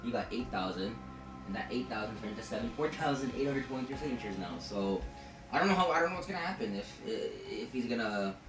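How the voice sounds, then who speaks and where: A man's voice: medium pitch, expressive, quick pace. A man co-hosting a podcast, chatting casually about pop culture in informal, slangy language with some swearing. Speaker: podcast co-host. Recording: echoey room, distant mic, music behind. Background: music.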